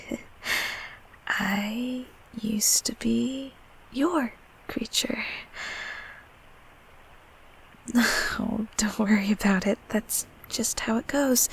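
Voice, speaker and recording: A woman plays a nurturing, bashful monster from a childhood closet, in an intimate audio roleplay. The voice is soft and fairly high, with a very breathy, near-whispered texture and a crackle of fry. The delivery is hesitant and tender, soothing someone they once watched over. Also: heavily acted. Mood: sheepish, reassuring. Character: gentle, shy, nurturing childhood closet creature, comforting a grown child.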